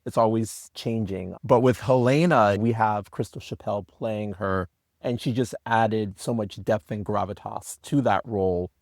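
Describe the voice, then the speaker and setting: A man's voice: fairly low pitch, measured pace, a little mellow, no room sound. Man chatting in a close, dry recording. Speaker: podcast guest, audio drama creator. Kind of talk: behind-the-scenes interview chat.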